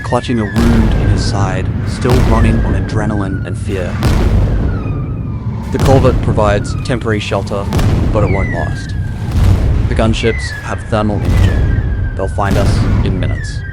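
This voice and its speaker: Low-pitched man narrating over loud music. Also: wildly swooping pitch, unhurried. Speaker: audiobook narrator. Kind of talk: scripted dramatized first-person narration.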